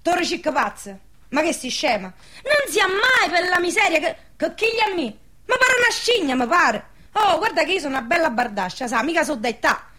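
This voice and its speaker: An eager, high-voiced young village girl. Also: big pitch swings, moderate pace, bright, forceful tone, exaggerated voice. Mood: mock indignant. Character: naive, teasing, nosy young village woman eager to marry. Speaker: actress. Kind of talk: radio drama dialogue in dialect.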